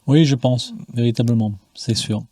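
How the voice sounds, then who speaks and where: A man's voice: medium pitch, fairly even intonation, normal speed, mellow, slightly rough, dry recording. A man in his fifties hosting a podcast, chatting casually, agreeing often and searching for his words with frequent fillers, recorded on a close mic. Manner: agreeing, hesitant, with many fillers. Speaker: podcast host. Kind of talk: casual conversation.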